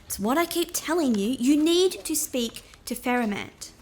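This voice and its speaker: A woman playing a diplomatic palace adviser in an audio drama, patient and persuasive with a gently teasing edge. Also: high-pitched, lively intonation, normal speed, a little hiss or hum. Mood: patient, coaxing.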